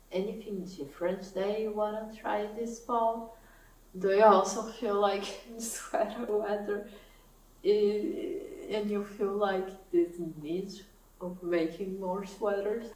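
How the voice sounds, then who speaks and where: A young woman speaks English, slowly and thoughtfully, in an easy vlog chat. She is recorded from a little way off the mic, in an echoey room with a faint hiss underneath. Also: mid-range voice, distant mic. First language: Portuguese. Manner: soft-spoken, thoughtful, laughs often. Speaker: knitting vlogger. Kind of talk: casual chat to camera.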